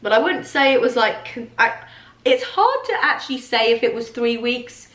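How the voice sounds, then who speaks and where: A woman with a hoarse, husky voice from losing it, hosting a podcast in an upbeat, chatty way and coughing now and then. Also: fairly high pitch, lively intonation, moderate pace, a bit bright. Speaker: podcast host. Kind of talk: casual chatty podcast monologue. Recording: roomy echo.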